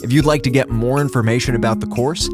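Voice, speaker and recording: A man's voice: mid-range voice, steady tone, fast. A man speaking as a course presenter, delivering a promotional message straight to viewers. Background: music.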